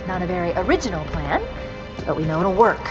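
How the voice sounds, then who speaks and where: A woman playing a resolute artificial intelligence companion in a video game, crisp, urgent and matter-of-fact, in a muffled recording. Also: on the low side, very animated, fast, over music.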